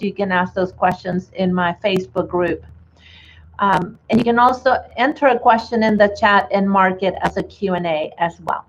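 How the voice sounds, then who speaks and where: A woman's voice: mid-range voice, highs cut off, slight background noise. A woman from Chile with a slight Chilean Spanish accent, presenting a bookkeeping webinar in a friendly way with many fillers. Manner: friendly, filler-heavy, restarts often.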